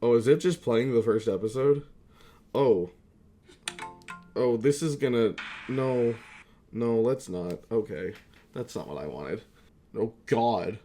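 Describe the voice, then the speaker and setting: A young man talks in a middle-pitched voice, like a YouTuber catching up with viewers. He rambles in a casual, comedic way, mocking himself and swearing freely. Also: animated, slow pace, pauses often, dark tone. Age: young adult. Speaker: YouTuber and amateur voice actor. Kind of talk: casual comedic vlog chat.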